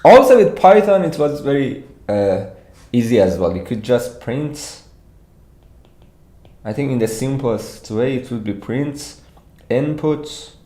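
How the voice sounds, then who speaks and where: A man from Iran with a Persian accent, giving an informal livestreamed walkthrough as a programming instructor, thinking aloud haltingly. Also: medium pitch, darkish tone. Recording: echoey room.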